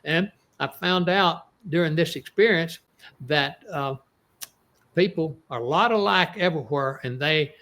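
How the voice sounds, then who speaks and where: A man's voice: fairly high pitch, unhurried, bright, forceful tone. A man in his eighties with an East Texas accent, an author telling stories in an interview, rambling with many restarts. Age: 80s. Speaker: author guest. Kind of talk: casual interview storytelling.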